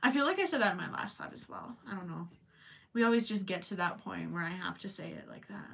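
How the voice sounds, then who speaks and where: A woman's voice: on the low side, lively intonation, fast talker, vocal fry, a bit bright, far from the mic. Chatty young woman with a Minnesota accent. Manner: chatty, rambling, joking asides with frequent laughs. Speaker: crochet livestream host. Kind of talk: casual livestream chat. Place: small indoor craft room with yarn shelves.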